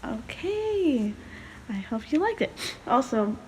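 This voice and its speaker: A young woman storyteller who sounds sick, tired and hoarse, reading a story aloud and chatting casually. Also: high-pitched, very animated, slow pace, darkish tone, slight background noise, poor audio. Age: young adult. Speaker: storyteller, video host.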